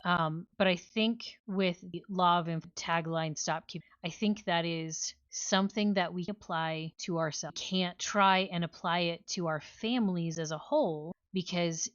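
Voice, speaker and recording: A woman's voice: lowish voice, unhurried, hardly any pauses, clean tone, no echo, muffled recording. A woman co-hosting a book club podcast, talking casually in an analytical, questioning way.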